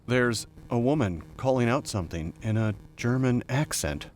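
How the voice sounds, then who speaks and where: A weary major, played by a male reader, calls in his reports with earnest radio procedure. His voice is mid-pitched and moves widely up and down, in a storybook reading. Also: animated, moderate pace, darkish tone, close to an everyday voice. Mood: weary, insistent. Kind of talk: scripted audiobook character dialogue.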